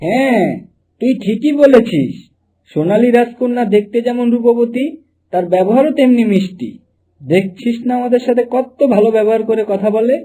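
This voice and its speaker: A man's voice: very high voice, normal speed, dark tone, a little hiss or hum, poor audio. A man voicing a respectful, earnest palace servant in a cartoon fairy tale. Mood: admiring.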